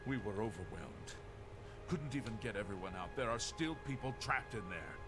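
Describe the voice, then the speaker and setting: An actor playing a grim, gruff male guard captain defending a burning city, urgent and despairing, over game music. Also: lowish voice, expressive, moderate pace, lightly acted. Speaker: soldier commander. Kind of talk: video game voice acting.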